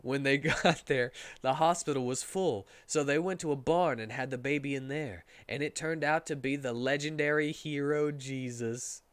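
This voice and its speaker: A man's voice: mid-range voice, normal speed, hardly any pauses, smooth, dry recording. A man reading a story aloud as a comedic dramatic performance, sometimes stumbling over the words.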